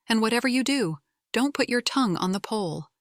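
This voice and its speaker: A woman's voice: on the high side, lively intonation, a little fast, no echo, studio quality, pitched above the performer's own voice, close to an everyday voice. A woman voicing a bossy but caring young girl for a storybook, exclaiming and grumbling as an exasperated big sister.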